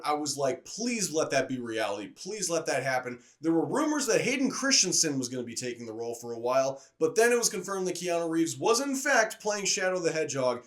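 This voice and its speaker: A man's voice, pitched a little higher than average, delivers a casual movie review. He talks conversationally, like a video reviewer addressing viewers. His delivery is enthusiastic and opinionated. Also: a bit bright.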